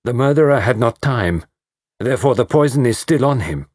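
A man voicing a fussy, commanding detective, pompous and precise, in a slightly husky voice. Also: medium pitch, expressive, normal speed, darkish tone, no room sound, studio quality, voice pushed up, natural voice. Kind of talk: audiobook reading.

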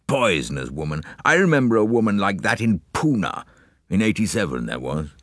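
A man voicing a crusty old colonial gentleman with a hoarse, quavering voice, blunt and scornful, in a story reading. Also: on the low side, very animated, brisk, no echo, clean studio sound.

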